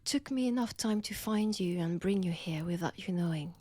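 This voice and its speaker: A woman with a French accent playing a seductive, predatory vampire in an intimate audio roleplay, breathy and teasing, often dropping toward a whisper. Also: on the low side, dark tone, dry recording, close to an everyday voice. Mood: gloating, teasing. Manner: slow, teasing, menacing, deliberate pauses. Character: seductive, predatory female vampire toying with her captive.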